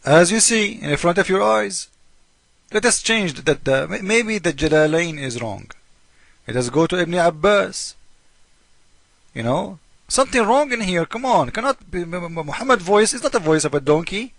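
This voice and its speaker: A high-pitched man with non-native English delivers informal religious polemic in a dry, close sound. He is mocking and theatrical, repeating his points and playing both sides of an argument. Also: expressive, normal speed, gravelly, a bit bright, dry recording. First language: not English. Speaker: host of a religious polemic video. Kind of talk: informal polemical commentary on scripture.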